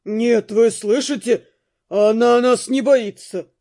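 A man's voice: very high voice, fairly even intonation, takes it slowly, mellow, exaggerated voice. An actor playing an indignant, sulky young male robber in an audiobook, with whiny, blustering complaints. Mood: indignant, whining.

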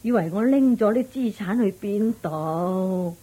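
A practical widowed mother who frets and scolds in a Buddhist radio drama. Her Hong Kong Cantonese is slow and dark, with a husky edge. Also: medium pitch, lively intonation. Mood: fretful, worried. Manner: sighing, fretful, scolding at interruptions. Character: anxious, practical widowed mother of two grown sons.

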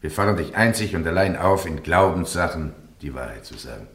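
An actor playing a stern, formal bishop who presides over a trial in a solemn, measured, ceremonious way in a radio play. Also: male voice, low-pitched, very animated, vocal fry, fairly bright tone, heavily acted. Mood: quietly admonishing. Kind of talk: German radio play acting. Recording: echoey courtroom-like hall, radio drama.

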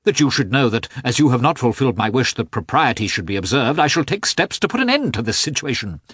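A man reading an audiobook, voicing a cold, offended aristocratic husband in a squeaky, raised voice with coldly emphatic speech. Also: lively intonation, moderate pace, fairly bright tone, husky, no echo, clean studio sound, exaggerated voice. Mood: angry. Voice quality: squeaky, high-strung. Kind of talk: audiobook character voice.

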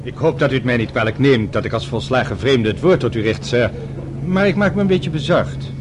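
Noisy old radio drama: male actor as an older gentleman doctor, polite, smooth-talking, formally solicitous, medium pitch. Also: lively intonation, a little fast, lightly acted. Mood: polite concern. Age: middle-aged or older. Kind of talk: Dutch radio drama acting. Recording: old radio drama with clear background noise.

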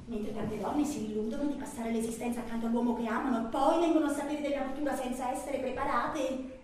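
An actress playing an anguished, lovelorn woman alone by the telephone, in a theatrical monologue with a dramatic, halting delivery. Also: on the high side, steady tone, a little mellow, natural voice. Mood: tender, wounded. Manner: dramatic, halting, swinging from murmurs to outcries. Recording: roomy, distant, echoing, faintly noisy.

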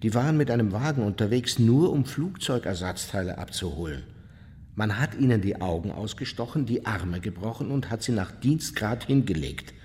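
A man acts out an unrepentant war criminal giving testimony in a radio drama. His voice is fairly low and rings in a long hall reverb. He is blunt and matter-of-fact, coldly justifying himself. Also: animated, normal speed, darkish tone, close to an everyday voice. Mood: hard, justifying. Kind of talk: German radio play, interview testimony.

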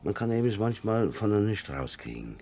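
A man's voice: lowish voice, very animated, normal speed, warm tone. An actor playing a stern, plain-spoken old farmer and father with a Silesian accent in a German radio drama. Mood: grave, content. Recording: noisy old radio production.

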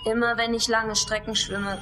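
An actress playing a blunt young competitive swimmer, answering tersely and deadpan in a German radio drama. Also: female voice, on the high side, moderate pace, close to an everyday voice. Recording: music behind the voice.

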